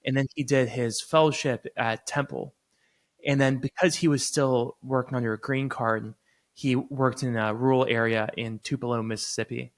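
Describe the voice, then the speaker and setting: A man in his thirties who grew up in Florida, a surgeon talking relaxed and easygoing as a podcast guest. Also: mid-range voice, normal speed. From United States, grew up in Florida. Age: 30s. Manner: relaxed, easygoing, laughs readily. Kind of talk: casual interview chat.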